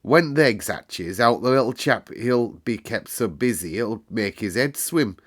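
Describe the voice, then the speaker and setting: A man acts out a twelve-year-old Yorkshire country boy from a storybook. He is gentle and earnest, speaking broad dialect with open wonder. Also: mid-range voice, lively intonation, unhurried. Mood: cheerful, chatty.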